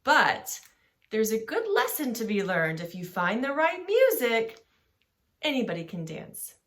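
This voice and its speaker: A woman hosting a children's story channel, reading aloud in an expressive, deliberate, sing-song way. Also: a little high, background noise.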